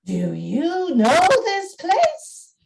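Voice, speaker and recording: Woman acting gentle spirit, high voice, unhurried, probing, declamatory, radio play. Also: wildly swooping pitch, creaky, heavily acted. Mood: commanding.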